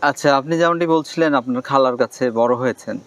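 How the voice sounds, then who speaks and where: A man's voice: medium pitch, fast, a bit bright. A man with a Bangladeshi accent, interviewing a guest in a conversational style.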